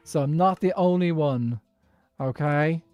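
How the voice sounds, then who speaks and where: A man's voice: a little high, measured pace, no echo, muffled recording, faint music behind. A man from Dublin with a posh Irish accent, streaming a block-building game in casual chat, thinking aloud and laughing often. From Dublin, Ireland.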